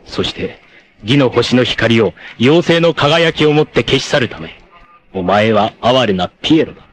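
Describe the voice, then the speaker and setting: A man's voice: lively intonation, moderate pace, breathy edge. A man playing a vain, narcissistic, treacherous martial-arts villain in a 1980s anime, with a haughty, theatrical, mocking delivery. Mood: mocking contempt. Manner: theatrical, haughty, mocking, erupting into shrill outrage. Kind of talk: dramatic 1980s anime voice acting.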